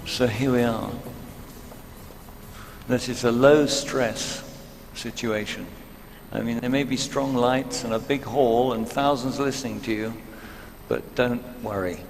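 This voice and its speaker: A man's voice: medium pitch, steady tone, a little slow, slight background noise. A man hosting a live talk show on stage in a big hall, welcoming guests and inviting them up. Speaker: host and moderator. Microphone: handheld microphone.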